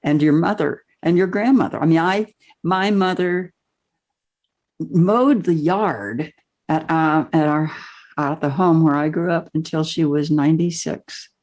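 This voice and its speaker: A woman's voice: low-pitched, unhurried, darkish tone. A woman hosting a podcast interview, speaking thoughtfully and often restarting her sentences. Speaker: podcast host. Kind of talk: interview conversation.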